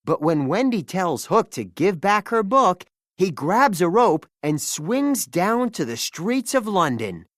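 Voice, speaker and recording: An expressive children's storybook narrator with very lively, swooping intonation. The reading is unhurried and theatrical, in a close, dry recording. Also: mid-range voice, dry recording. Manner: expressive, acts out dialogue.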